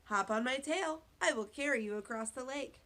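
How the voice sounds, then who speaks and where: An excitable male beaver out of a children's storybook , acted out by a woman reader. The voice is pushed high and bright, and every line comes out as an emphatic exclamation. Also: normal speed. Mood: eager.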